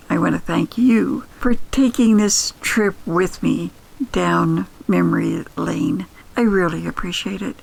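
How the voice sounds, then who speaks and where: Older female storyteller-host, personal chatty delivery, mid pitch with noticeable fry. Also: low-quality recording. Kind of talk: personal, conversational podcast sign-off.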